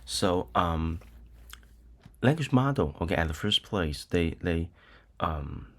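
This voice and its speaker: Slow, deep-voiced Taiwanese male lecturer. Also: lively intonation, a bit bright. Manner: hesitant, many ums and uhs, frequent "okay?" checks. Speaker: university lecturer. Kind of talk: recorded lecture with screen demo.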